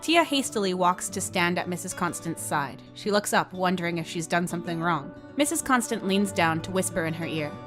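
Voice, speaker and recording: A woman's voice: lowish voice, lively intonation, a little fast, hardly any pauses. A woman reading scripted story narration as the narrator. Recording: music behind the voice. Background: music.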